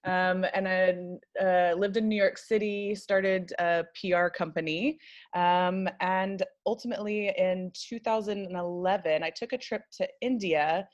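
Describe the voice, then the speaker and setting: A woman's voice: on the low side, fairly even intonation, a little slow, highs cut off. A woman in her thirties with an American accent, chatting casually as an interview guest with plenty of ums and uhs. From United States. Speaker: wine industry guest.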